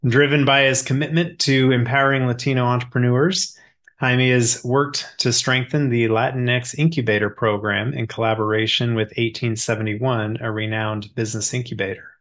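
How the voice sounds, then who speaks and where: An upbeat male podcast host with a voice at ordinary pitch. He reads a polished introduction, then moves into easy, friendly questions. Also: fairly even intonation, normal speed, fairly bright tone. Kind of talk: podcast interview hosting.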